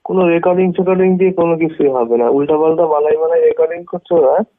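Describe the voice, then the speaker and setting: A man's voice: a little high, rapid-fire. A man arguing on a phone call, defensive and dismissive, often retorting with questions. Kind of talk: argumentative phone conversation. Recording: tinny narrowband phone call recording.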